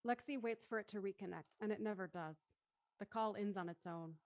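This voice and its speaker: A woman's voice: medium pitch, a little fast. A woman reading stage directions as scripted narration for a drama.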